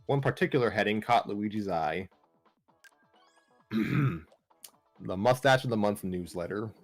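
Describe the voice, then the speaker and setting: A man's voice: fairly low pitch, expressive, a little slow, faint music behind. A man serving as narrator of an amateur comic story, reading in a casual style.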